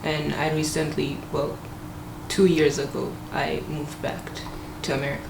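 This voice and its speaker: Low, gravelly young Gambian woman. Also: level tone, normal speed. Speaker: interviewee. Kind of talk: documentary interview. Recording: echoey room with noticeable ambient noise.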